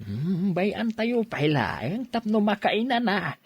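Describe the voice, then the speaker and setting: A man playing a doting old grandfather in a radio drama, husky and drawn-out, with an Ilocano accent. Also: high-pitched, very animated, no echo, lo-fi sound, full character voice. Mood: delighted, doting. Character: doting old grandfather fussing over a newborn.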